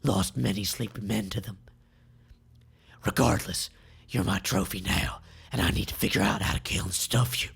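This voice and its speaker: A man voicing a huge, intimidating, crude male quarter-orc in a slow, menacing way, for a comic read aloud. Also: fairly low pitch, steady tone, creaky, fairly bright tone, husky, pitched below the performer's own voice, full character voice. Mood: menacing gloating.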